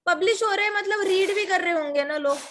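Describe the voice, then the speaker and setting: High-pitched woman, devotional storyteller, emphatic, full of rhetorical questions. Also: quick pace, a bit bright, slight background noise. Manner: mixes English words into Hindi.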